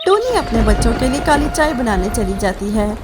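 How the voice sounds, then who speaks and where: A woman's voice: fairly high pitch, sing-song, brisk, over loud music. A woman giving scripted narration for a children's cartoon story.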